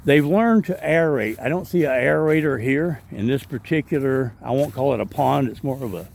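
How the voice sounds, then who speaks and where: A man's voice: mid-range voice, normal speed, creaky, a little mellow. A middle-aged man chatting casually as he vlogs his walk through an outdoor park, rambling with plenty of ums and asides. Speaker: vlogger and street evangelist. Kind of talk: casual walking vlog narration.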